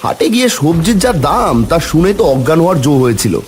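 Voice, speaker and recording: A man's voice: on the high side, big pitch swings, fast talker, a little hiss or hum. A man voicing a worried, caring village husband in a cartoon, with a Bengali accent and colloquial, exclaiming speech. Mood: exasperated. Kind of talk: cartoon dialogue acting.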